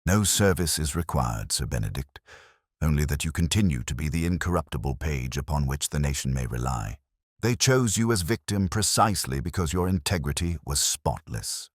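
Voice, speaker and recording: A man plays a brilliant gentleman detective for an audiobook mystery, in a very deep register. He is grave and formal, speaking in measured, oratorical phrases with expressive rises and falls. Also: slightly rough, dry recording, studio quality, full character voice. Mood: solemn, reflective.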